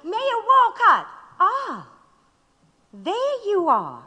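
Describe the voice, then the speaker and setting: Actress as a defiant, high-voiced woman activist. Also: wildly swooping pitch, drawn-out words, bright, forceful tone, full character voice. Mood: assertive. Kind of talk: audio drama acting. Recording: echoey hall reverb.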